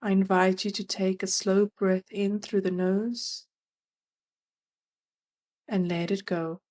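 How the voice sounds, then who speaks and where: A woman narrating a guided meditation as its guide, gentle and soothing, leaving long pauses between phrases. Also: mid-range voice, flat delivery.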